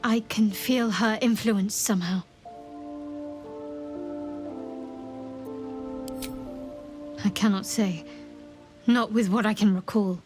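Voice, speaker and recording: A woman playing a guarded, secretive young cleric in a video game, speaking in a reserved, measured, dryly wry way. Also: mid-range voice, steady tone, music in the background, natural voice. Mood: solemn. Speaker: video game companion character. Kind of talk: dramatic game dialogue.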